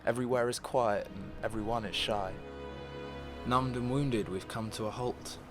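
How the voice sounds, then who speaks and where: Male actor as troubled mortal in a poetic drama: lowish pitch, earnest, measured rhyming verse over music. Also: fairly even intonation, close to an everyday voice. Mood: troubled, searching. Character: troubled, earnest mortal man questioning Death. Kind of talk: rhymed poetic monologue.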